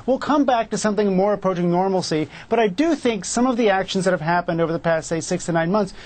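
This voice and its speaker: A man on a business TV panel, talking about stocks in a rapid, chatty way. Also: high-pitched, a little mellow. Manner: rapid, chatty, laughs between points. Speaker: market panelist. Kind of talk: TV panel commentary.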